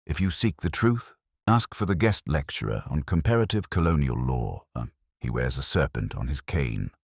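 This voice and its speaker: Male narrator as secretive informant, extremely deep, ominous and formal, close dry sound, storybook letter. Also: expressive, normal speed, creaky, husky, no echo, full character voice. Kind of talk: audiobook letter read in character.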